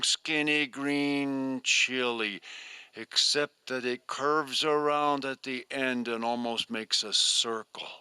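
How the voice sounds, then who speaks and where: An older man telling a folktale live to an audience, with slow, deliberate, expressive delivery. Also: medium pitch, smooth, dry recording. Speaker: storyteller.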